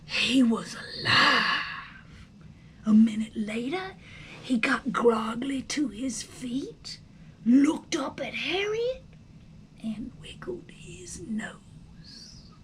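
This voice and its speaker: A woman narrates a storybook with dramatic, hushed expression. Her voice is high and lively, moving at a slow pace, and there is some noise behind her. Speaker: storybook reader.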